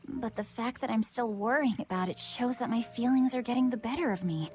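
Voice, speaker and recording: A woman voicing an earnest, spirited teenage village huntress in a video game, with expressive delivery. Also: on the high side, a little fast, music in the background, lightly acted. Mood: pensive.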